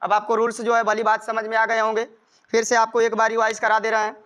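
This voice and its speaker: An Indian man teaches in a strikingly high, clear voice. His delivery stays fairly even in tone as he explains grammar step by step, repeating each point for students. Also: fast talker. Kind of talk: grammar lesson, explaining rules with examples.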